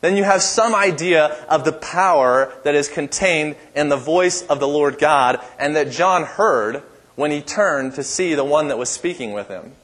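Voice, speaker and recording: A pastor delivering a sermon in a church with a strong, powerful voice and an emphatic, repetitive preaching cadence. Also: male voice, a little high, unhurried, fairly bright tone.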